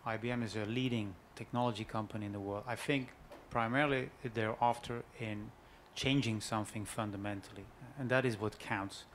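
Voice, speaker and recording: A male panelist explains his views at a steady pace, pausing on fillers. A faint hum sits behind his voice. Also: fairly low pitch. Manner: thoughtful, explanatory, frequent fillers. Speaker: conference panelist. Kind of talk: panel discussion.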